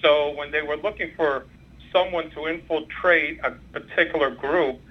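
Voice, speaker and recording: Older Cuban-born man, native Spanish speaker, rambling phone guest, slightly high voice. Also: fairly even intonation, normal speed. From Cuba. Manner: rambling, many fillers and restarts. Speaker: former undercover FBI agent, guest. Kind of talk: interview, recounting career. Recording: muffled phone line.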